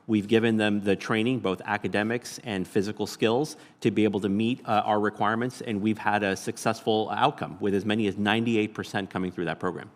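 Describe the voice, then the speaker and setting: A man's voice: lowish voice, fairly even intonation, fairly quick, few pauses. An American man giving formal testimony as a government official. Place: large indoor hearing room. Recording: reverberant hearing room.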